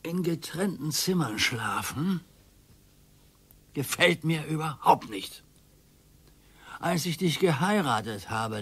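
A man's voice: mid-range voice, fairly bright tone, slightly rough, no echo, heavily acted. An older man playing a needy, sentimental retired husband in a radio play, plaintive and nostalgic.

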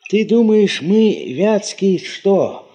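An actor playing a garrulous, sentimental village neighbour with a folksy, rambling manner in a 1970s radio play. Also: male voice, high voice, lively intonation, extremely slow, warm tone, exaggerated voice. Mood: hearty, boastful.

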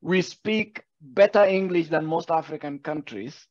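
A middle-aged Kenyan man hosting an interview over a video call, chatty and animated. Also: fairly high pitch, unhurried. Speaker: host. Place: indoor room. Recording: dull, dry video call audio.

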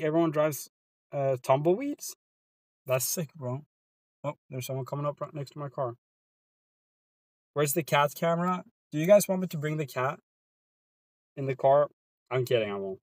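Swedish dude streaming from his car, kinda high voice, chill and slangy, dry close sound. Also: dry recording. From Sweden. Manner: laid-back, slangy, trails off into mumbles. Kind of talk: casual live stream chat reading viewer comments. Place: inside a car.

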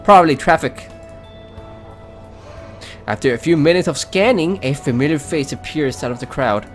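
A man's voice: a little high, animated, moderate pace. A man hosting a let's play, reading game text aloud with casual commentary. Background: game music.

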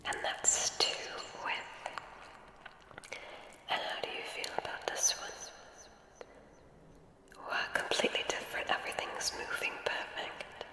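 An ASMR performer playing a kindly witch examiner in a whispered roleplay, speaking in a soothing, gentle, deliberate way with soft approval.

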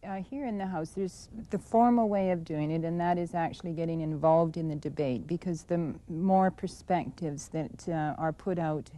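Low, mellow woman, unhurried interview. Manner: unhurried, with fillers. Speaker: member of parliament interviewed. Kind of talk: interview answers.

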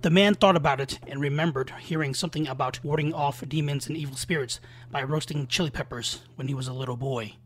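A man's voice: a little high, fast talker, hardly any pauses, a bit bright. A man narrating a scripted scary folktale as a storyteller, with dramatic, suspenseful delivery. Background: background music.

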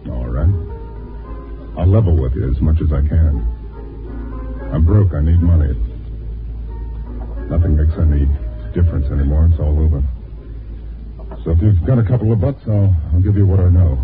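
A man's voice: a bass voice, normal speed, pauses often, music in the background. An actor playing a hard, cagey, gray-haired schemer down on his luck in a 1950s radio drama, on a tinny recording. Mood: cynical, resigned. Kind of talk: 1950s radio noir acting. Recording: tinny band-limited old radio recording.

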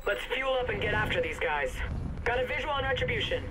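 An actor playing a commanding military fighter pilot in a video game, urgent and barking orders. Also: male voice, extremely high pitch, expressive, fast. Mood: businesslike. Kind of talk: video game combat dialogue. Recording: loud battle noise behind.

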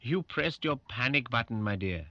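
A bright, courteous male call operator. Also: medium pitch, animated, normal speed, no room sound. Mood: helpful. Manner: polite, solicitous. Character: Paul, courteous, sympathetic male alarm-company call operator. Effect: intercom speaker. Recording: dull alarm-intercom speaker sound.

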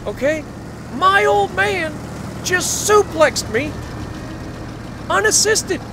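A man's voice: very high-pitched, noisy background. A young American man casually telling a story over tank game play in short, emphatic phrases, as host of a gaming video.

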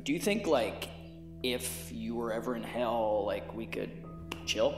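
A man's voice: medium pitch, lively intonation, unhurried. Guy playing sheepish young slacker from hell, awkward and hesitant, super echoey, comedy sketch. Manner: hesitant, colloquial, full of "like". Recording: echoey long reverb, music behind.

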